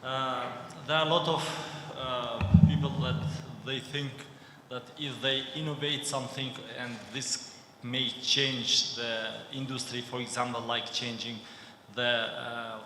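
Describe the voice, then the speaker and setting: Bright-voiced man asking a question, echoey. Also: medium pitch, little pitch movement. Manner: hesitant, many "uh" pauses. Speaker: audience member asking a question. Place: lecture hall. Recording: echoey hall, faint noise.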